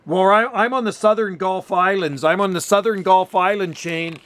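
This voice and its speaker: High-pitched man chatting on radio. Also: steady tone, normal speed, a bit bright. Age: about 60. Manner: chummy, complimentary. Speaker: amateur radio operator. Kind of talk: casual radio chat with call phrases.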